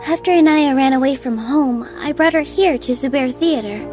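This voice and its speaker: An actress playing a gentle young dancer who speaks earnestly and softly. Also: female voice, sits high, normal speed, music in the background, natural voice. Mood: worried. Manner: earnest, soft-spoken. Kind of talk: video game dialogue.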